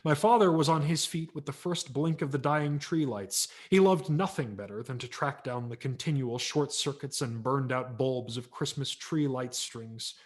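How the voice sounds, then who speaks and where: A man's voice: mid-range voice, normal speed, few pauses. A male audiobook narrator with a mid-range voice reads a story aloud. His delivery is theatrical and wry, leaning hard on key words. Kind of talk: dramatic scripted story narration.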